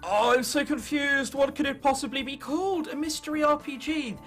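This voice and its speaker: A teenage man from England with an English accent, commentating on gameplay and thinking aloud in an excitable, sweary, self-mocking way. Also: very high voice, moderate pace, soft background music. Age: late teens. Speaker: gaming video host.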